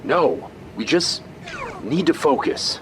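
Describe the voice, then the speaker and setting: An actor playing a sardonic, commanding billionaire hero. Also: male voice, on the high side, big pitch swings, slow pace, background noise. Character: cocky male billionaire hero leader.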